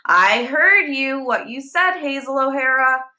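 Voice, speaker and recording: A woman voicing a stern, exasperated female schoolteacher in a read-aloud storybook, sharp and commanding. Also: high-pitched, lively intonation, unhurried, voice pushed up, heavily acted.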